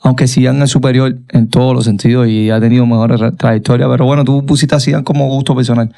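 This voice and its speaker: A man's voice: medium pitch, flat delivery, fast, creaky, dark tone. A man with a Cuban accent hosting a casual sports podcast chat, emphatic and given to repeating words for emphasis. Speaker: podcast host.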